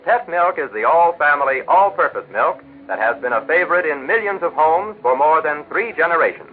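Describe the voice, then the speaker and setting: A man's voice: fairly high pitch, expressive, fairly quick, slight background noise. An American man working as a 1940s radio announcer, delivering chatty, folksy advertising patter for a sponsor. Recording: vintage 1940s radio broadcast, telephone-like band.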